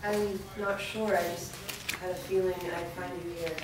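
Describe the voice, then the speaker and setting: A woman's voice: lowish voice, little pitch movement, moderate pace, natural voice. A woman playing a skeptical, bewildered young woman in a staged reading, with a questioning, hesitant delivery. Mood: soothing. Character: skeptical, bewildered young woman, vampire hunter's loved one. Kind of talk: staged reading of a fantasy drama. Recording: echoey room, distant mic, faint noise.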